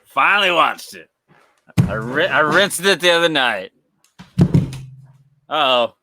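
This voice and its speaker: A man's voice: fairly high pitch, very animated, slow pace, background noise. A man co-hosting a podcast in loose, rambling chat, laughing often and swearing freely. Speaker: podcast co-host. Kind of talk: casual chat.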